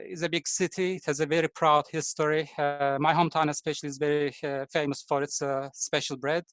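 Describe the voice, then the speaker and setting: Brief: Turkish-accented male engineer, slightly high voice, dry video-call sound, halting and friendly. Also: fairly bright tone, clear, resonant tone, no room sound. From Turkey. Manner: halting, many fillers, friendly explaining. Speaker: design engineer giving a talk. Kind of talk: online presentation to pupils.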